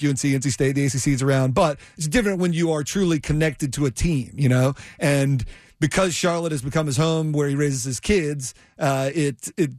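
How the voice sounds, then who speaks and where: A man's voice: on the high side, a little mellow, no echo, clean studio sound. A man hosting a sports talk radio show, talking in a conversational, effusive, rambling way. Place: radio studio.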